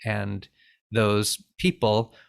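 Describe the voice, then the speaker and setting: A man's voice: on the low side, level tone, extremely slow, gravelly, clear, resonant tone. A man in his forties, raised bilingual in Finnish and English, telling an interviewer about his humanitarian work in an earnest, reflective way, with frequent restarts. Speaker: interview guest, refugee aid founder. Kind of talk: conversational interview storytelling.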